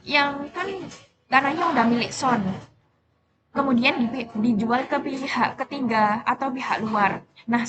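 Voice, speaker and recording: A young adult woman giving an explanatory class presentation as a student, in a halting, self-correcting manner. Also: high-pitched, background noise. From Indonesia.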